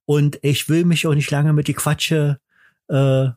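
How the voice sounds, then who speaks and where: A man's voice: fairly high pitch, little pitch movement, a little slow, darkish tone, no echo, studio quality. A man hosting a podcast in casual chat, stumbling over his words and poking fun at himself. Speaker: podcast host.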